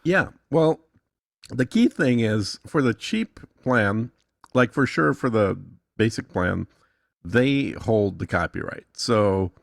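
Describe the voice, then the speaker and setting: A man's voice: on the low side, gravelly, no echo, studio quality. A man explaining things casually and with assurance, as a guest in a conversation. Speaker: podcast guest demonstrating software.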